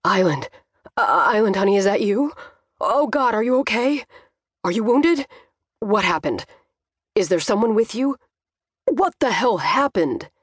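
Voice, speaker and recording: A woman's voice: medium pitch, animated, moderate pace, slightly rough, dry recording. Woman as middle-aged father, audiobook character, protective, frantic, barking, emotional, dry sound. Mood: panicked, worried.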